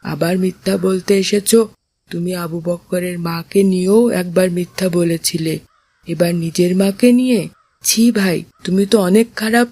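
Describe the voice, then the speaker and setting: A defiant, aggrieved cartoon boy, played by a voice actor, husky and mid-pitched. He is reproachful and confrontational, in the manner of a children's moral story. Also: steady tone, a little slow, darkish tone. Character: aggrieved, defiant boy who stands up to accusers. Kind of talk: Islamic moral cartoon voice acting.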